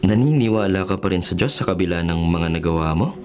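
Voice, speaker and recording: A man's voice: sits low, lively intonation, quick pace, a little mellow, soft background music. An actor playing a skeptical young male journalist with a Filipino accent, hesitant and evasive, in a radio drama. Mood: skeptical probing.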